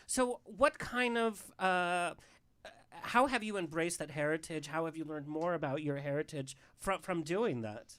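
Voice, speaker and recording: A man's voice: fairly high pitch, a little slow, fairly bright tone. A man from Southern California hosting a casual talk show, chatty and reflective as he puts questions to guests. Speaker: host.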